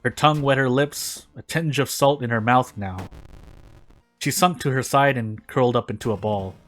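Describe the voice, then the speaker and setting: A man's voice: mid-range voice, moderate pace, a bit bright. A man reading prose fiction aloud in a dramatic narrating style. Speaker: fan fiction reader. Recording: music behind the voice. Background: background music.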